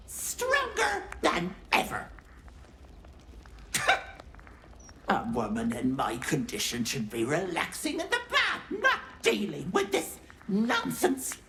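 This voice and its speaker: A woman's voice: low-pitched, exaggerated voice. A woman playing a gleefully cruel, pregnant old hag in a video game, theatrical, taunting and menacing. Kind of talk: video game villain acting.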